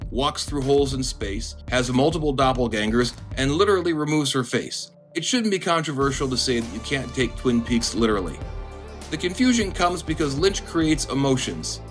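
A man narrating a scripted video essay in a dry, monotone, deadpan way. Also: medium pitch. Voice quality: monotone delivery. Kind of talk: scripted commentary.

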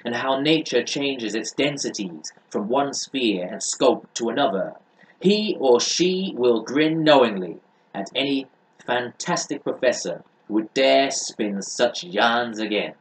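Young male reader, mid-range pitch, declamatory book reading, small-room camera sound. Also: lively intonation, a little slow, low-quality recording. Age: young adult.

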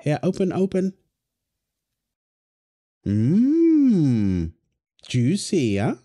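Unhurried, mellow-voiced man. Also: fairly high pitch, huge pitch swings. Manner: playful, teasing, coos fondly to a baby. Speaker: audio roleplay performer voicing a husband and dad. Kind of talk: improvised-sounding wholesome audio roleplay.

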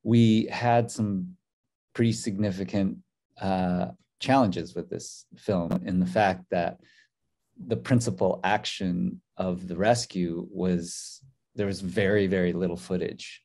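A man's voice: low-pitched, fairly even intonation, slow, gravelly, a little mellow. A man in his forties, a documentary co-director, speaking thoughtfully on a video call from a hotel room, with many fillers and restarts. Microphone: video call webcam.

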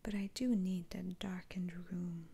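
A woman whispering an ASMR role-play as a kindly, slightly teasing witch doctor, soothing and hushed. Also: on the low side, fairly even intonation, measured pace, breathy edge, exaggerated voice. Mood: relaxed, gently teasing.

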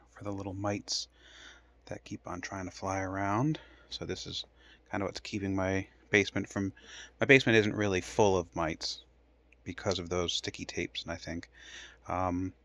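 Low-voiced man rambling casually. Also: fairly even intonation, moderate pace, gravelly, pauses often, fairly bright tone. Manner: rambling, thinking aloud. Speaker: home gardener showing his grow room. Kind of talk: casual unscripted video tour. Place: indoor basement grow room.

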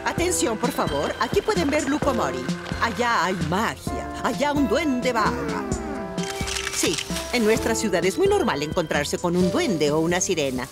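Woman as a theatrical, lively cartoon tour guide. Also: medium pitch, moderate pace, close to an everyday voice. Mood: showy. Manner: announcing, showy. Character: theatrical tour guide in a fairy-tale town. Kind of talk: animated cartoon acting. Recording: music behind the voice.

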